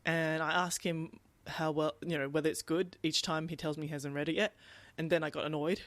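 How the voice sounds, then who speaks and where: A woman's voice: deep voice, fairly even intonation, fairly quick, dry recording. A woman chatting casually about books as a podcast co-host, informal and conversational, often voicing her own thoughts as quotes. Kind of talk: casual book discussion chat.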